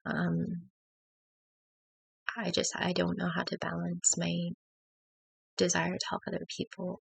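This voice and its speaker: Gravelly, freshly woken woman, hesitant. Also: lowish voice, completely flat delivery, takes it slowly, no room sound, poor audio. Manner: hesitant and reflective, many fillers and restarts. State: just woken up. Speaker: faith vlogger. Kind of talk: personal confessional talk to camera. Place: at home with her dog.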